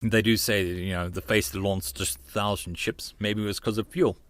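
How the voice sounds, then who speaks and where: A man narrating a visual novel as a first-person audiobook, expressive and given to informal asides. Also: fairly low pitch, a little fast, no room sound.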